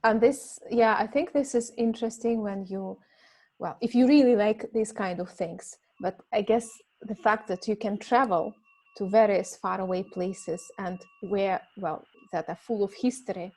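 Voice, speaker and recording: Woman hosting a talk club, halting. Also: medium pitch, a little mellow, poor audio. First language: Russian. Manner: hesitant, many fillers and restarts. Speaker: host of an English conversation club. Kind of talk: casual teaching chat.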